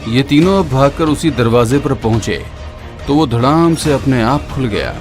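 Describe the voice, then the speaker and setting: A man tells a horror story in a steady, dramatic voice of middle pitch, sinking lower for the grim parts. Loud eerie music plays under his narration throughout. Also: moderate pace.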